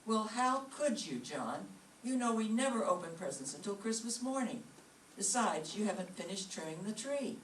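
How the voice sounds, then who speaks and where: A woman's voice: mid-range voice, lively intonation, moderate pace, lightly acted. A patient, reproachful wife played by a woman in an old-time radio comedy. She scolds dryly but fondly, heard at a distance in a roomy space with faint noise behind her. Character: long-suffering, scolding yet affectionate wife.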